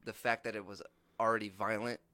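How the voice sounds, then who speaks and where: A man's voice: on the low side, little pitch movement, brisk, pushed and bright, no echo. A man chatting casually as a podcast co-host, rambling and restarting, with a lot of swearing. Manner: rambling storyteller, frequent restarts and profanity. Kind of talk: casual chat.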